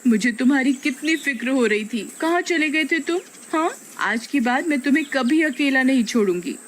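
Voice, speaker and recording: A woman voicing a doting, excitable village woman in a cartoon, exclamatory and cooing. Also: high voice, normal speed, slight background noise. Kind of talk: cartoon moral story acting.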